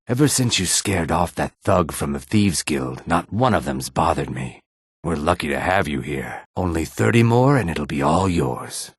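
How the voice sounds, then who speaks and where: Deep, raspy, courteous lizard-folk merchant. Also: male voice, no echo, clean studio sound, exaggerated voice. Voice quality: raspy, husky, throaty. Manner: polite, formal, measured. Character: courteous male Argonian lizard-folk merchant, weary and dignified. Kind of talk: fantasy video game character dialogue.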